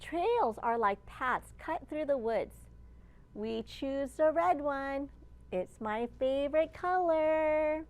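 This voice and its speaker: A woman librarian reading a picture book aloud for young children, with deliberate phrasing and questions put to her listeners. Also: sits high, lively intonation, darkish tone.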